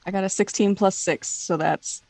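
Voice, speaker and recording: A woman's voice: medium pitch, steady tone, normal speed, creaky, a little mellow, no echo. A woman playing a tabletop role-playing game, casually announcing her character's moves and chatting.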